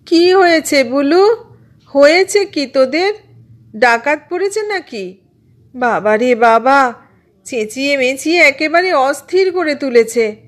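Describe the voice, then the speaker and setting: A woman's voice: high-pitched, animated, slow, long pauses, pitched above the performer's own voice. A woman voicing an ailing, exasperated mother from a poor household, scolding and complaining in a storybook reading. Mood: shrill scolding.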